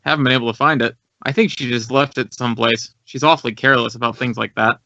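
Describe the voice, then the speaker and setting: An American actor playing an earnest, courteous and talkative director of a drama school in an old radio drama. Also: male voice, mid-range voice, fairly even intonation, fast, vocal fry, sharp tone, no echo. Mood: puzzled, chatty. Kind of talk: 1950s radio acting. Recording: dull, dry old radio recording.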